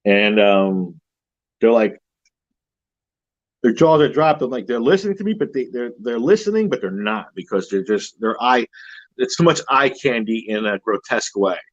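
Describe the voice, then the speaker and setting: A man with a deep voice, a horror-themed wedding officiant, chatting casually and confidently as an interview guest, with frequent restarts. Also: animated, moderate pace, no echo, dull audio. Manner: rambling, self-assured, many restarts.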